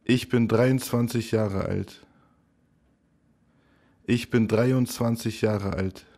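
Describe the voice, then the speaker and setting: Slow, gravelly-voiced man. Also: fairly low pitch, steady tone, mellow. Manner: slow, careful, each phrase said three times. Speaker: language teacher. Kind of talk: slow repeated phrase drill.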